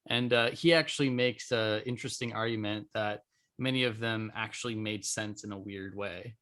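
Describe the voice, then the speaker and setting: Podcast host, male, lowish voice, casual and informal, loosely phrased conversational delivery. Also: fairly even intonation, moderate pace, a bit bright.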